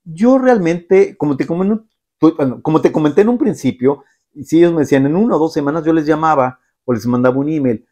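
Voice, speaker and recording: A middle-aged man, telling his own story to the viewer in a reflective, halting way, with many filler sounds. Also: fairly high pitch, lively intonation, warm tone. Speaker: vlogger. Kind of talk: personal storytelling to camera.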